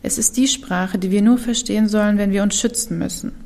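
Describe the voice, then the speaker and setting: Young woman, kurdish first language, slow whispery spoken word, gravelly, echoey hall. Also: mid-range voice, fairly even intonation, warm tone. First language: Kurdish (Kurmanji). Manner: slow, deliberate recitation with whispered passages. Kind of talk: spoken-word poetry performance. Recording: reverberant hall.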